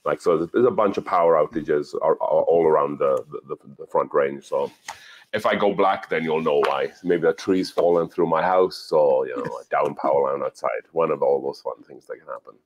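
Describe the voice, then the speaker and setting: A man's voice: extremely low pitch, normal speed, a little hiss or hum. A middle-aged man from Wales with a British accent, chatting casually as a livestream guest. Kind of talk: casual chat. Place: indoor home bar.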